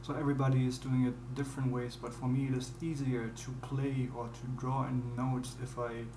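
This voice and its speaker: A young man with a German accent walks through a beat tutorial in English, pausing to hunt for words and restarting his sentences. His voice stays fairly flat in pitch and sounds roomy. Piano chords play clearly behind him. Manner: halting, searching for words, explaining step by step. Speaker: music producer. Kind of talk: screen-recorded beatmaking tutorial. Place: dim small room at home.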